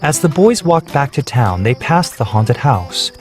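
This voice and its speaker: A man narrating a children's storybook with clear, measured, steady reading. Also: mid-range voice. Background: background music.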